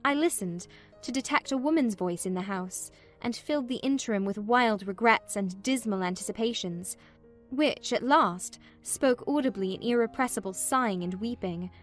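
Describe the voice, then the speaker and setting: A woman voicing a despairing, proud and weary young gentlewoman who tells her story expressively in a dramatized novel reading. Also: a little high, normal speed, faint music behind, natural voice. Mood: forlorn. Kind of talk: dramatized audiobook narration of a classic novel, in first person.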